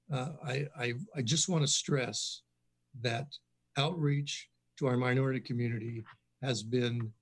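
Older police chief guy on a video call, lots of uhs, plain mid voice. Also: medium pitch. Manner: halting, many fillers. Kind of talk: formal meeting remarks.

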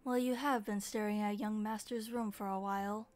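A woman's voice: medium pitch, fairly even intonation, normal speed, a little mellow, faint music behind, natural voice. A woman voicing a polite, composed young maid in a comic fan dub, formal and deferential.

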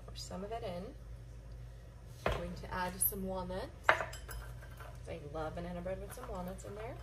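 A woman's voice: lowish voice, steady tone, unhurried. A young woman casually narrating a cooking vlog in her home kitchen, chatty and upbeat as she works. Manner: chatty, upbeat, talks while working. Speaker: vlogger. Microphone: camera on the counter. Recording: loud background noise.

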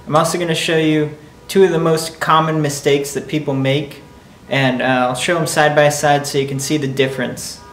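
A man's voice: a little high, level tone, moderate pace, fairly bright tone, slight background noise. Man talking to camera in an echoey workshop. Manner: friendly, direct address to viewers. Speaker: welding channel host. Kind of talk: casual tutorial intro. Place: wood-walled garage workshop, indoors.

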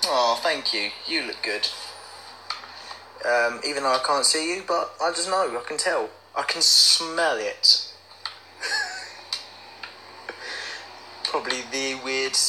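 A man's voice: medium pitch, big pitch swings, slow pace, pauses often, pushed and bright, slight background noise, poor audio. A young man chatting casually on a livestream, reading out comments in a playful, silly manner. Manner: playful, silly, breaks into bits of song. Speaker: livestream host.

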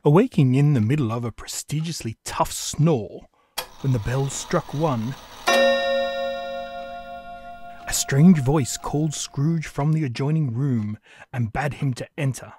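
Male narrator, unhurried, theatrical, slightly higher voice, storybook reading, music behind. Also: big pitch swings, darkish tone, breathy edge.

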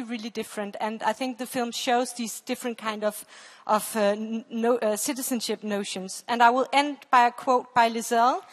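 A woman presents a case study at a conference. Her voice is fairly high and her delivery is hesitant, as she searches for words. It is picked up by a headset mic in a hall with long reverb. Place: stage in a large dark auditorium.

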